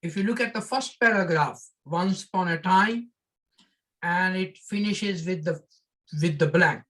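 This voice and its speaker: A man's voice: high voice, measured pace, bright, forceful tone, low-quality recording. A man lecturing, politely giving instructions for a classroom reading exercise.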